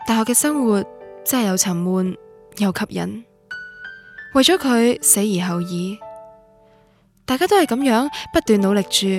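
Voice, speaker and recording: An actress playing a proud, romantic young student from a radio drama, narrating in Guangdong Cantonese in a wistful, confessional way. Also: female voice, mid-range voice, a little mellow, close to an everyday voice. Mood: reflective. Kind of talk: scripted radio drama monologue. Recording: music under the voice. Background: music.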